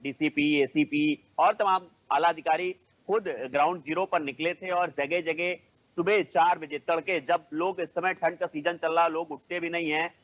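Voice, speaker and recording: A man's voice: a little high, fairly even intonation, normal speed, low-quality recording. A man with a North Indian accent reporting live as a news correspondent, hesitating and leaning on stock linking phrases, over a band-limited link.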